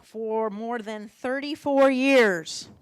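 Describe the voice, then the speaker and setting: A woman's voice: fairly high pitch, slow pace, smooth, dry recording. A woman emceeing an awards ceremony, speaking steadily and formally as she announces honorees. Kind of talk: ceremony presentation. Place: awards event hall.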